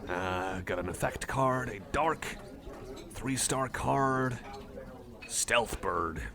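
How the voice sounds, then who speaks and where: A man's voice: medium pitch, very slow, vocal fry. A man playing a friendly, nerdy cyborg card enthusiast in a casual ASMR roleplay, rambling hesitantly and apologetically. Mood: geeky, enthusiastic. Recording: cafe crowd chatter behind. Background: busy cafe chatter.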